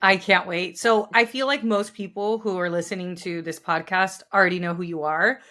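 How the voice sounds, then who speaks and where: A woman's voice: fairly low pitch, fairly even intonation, normal speed, bright, forceful tone. A woman hosting a podcast, interviewing a guest with effusive, gushing praise. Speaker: podcast host.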